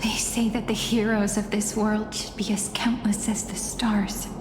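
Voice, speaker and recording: A woman's voice: mid-range voice, level tone, unhurried, a crowd in the background, natural voice. A woman voicing a somber surviving heroine in a video game, subdued and solemn. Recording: echoey hall reverb, noisy ambience behind.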